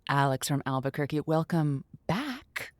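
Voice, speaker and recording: Low-voiced, polite radio host. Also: female voice, very animated, a little slow. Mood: awkward. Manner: hesitant, flustered, polite. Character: awkward, polite woman hosting a radio advice show. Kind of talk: comedic audio drama radio show.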